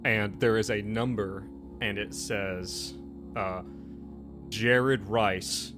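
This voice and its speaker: A man with a lowish voice narrates a tabletop roleplaying game as its game master. He talks informally and chattily, with music playing clearly behind him. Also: steady tone, takes it slowly. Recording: background music under the voice.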